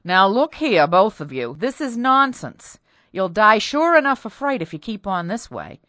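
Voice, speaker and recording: A woman voicing a stubborn, resentful husband who speaks loudly and bluntly in exasperation. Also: fairly low pitch, animated, normal speed, dry recording, studio quality. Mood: exasperated.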